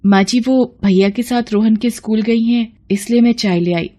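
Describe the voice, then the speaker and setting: A woman's voice: fairly high pitch, moderate pace, mellow, close to an everyday voice. A woman as a meek, dutiful young daughter-in-law. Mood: timid, respectful. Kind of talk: Hindi cartoon family melodrama acting.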